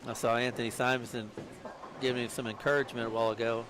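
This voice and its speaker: A man's voice: mid-range voice, flat delivery, quick pace, noisy background. A man doing casual live commentary on a bowling tournament, chatty and joking and laughing often.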